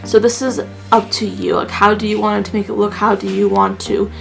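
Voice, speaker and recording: A young woman talks her viewers through a makeup tutorial in a loose, chatty way, full of fillers. Her voice has a gravelly vocal fry. Soft music plays faintly behind her. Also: medium pitch, flat delivery, fairly quick, poor audio. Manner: chatty and rambling, many fillers. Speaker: makeup vlogger. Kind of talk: casual makeup tutorial chat.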